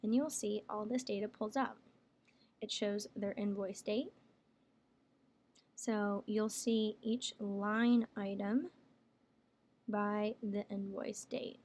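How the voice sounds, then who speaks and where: A woman working as a software trainer, explaining each step plainly in a casual screen tutorial. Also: mid-range voice, steady tone, takes it slowly, pauses often. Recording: clear background noise, room ambience.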